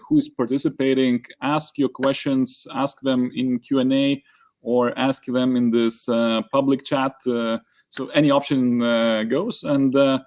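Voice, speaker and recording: A man moderating a panel discussion informally, speaking in a halting, hesitant way. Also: mid-range voice, a little mellow, highs cut off.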